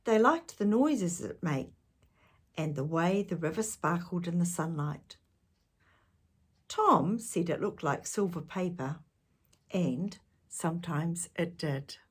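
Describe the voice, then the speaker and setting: A woman storyteller reads a children's book at a very slow, measured pace. Her voice is fairly low and husky, with expressive delivery aimed at young listeners. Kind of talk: children's storybook read-aloud with asides to the listener.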